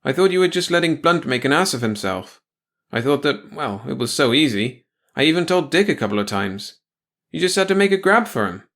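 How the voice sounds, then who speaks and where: A man's voice: a little high, sing-song, brisk, fairly bright tone, pitched above the performer's own voice. A man voicing a mopey, lovesick young crewman in an audiobook story, talking in a slangy, defensive way.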